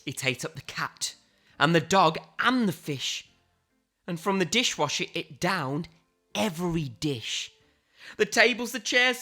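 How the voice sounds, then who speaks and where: A woman reads a children's picture book with theatrical flair and suspenseful pauses. Her voice is bright and swoops widely in pitch, taking its time over the dramatic words while faint music plays behind her. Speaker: storybook reader. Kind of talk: animated children's picture book read-aloud.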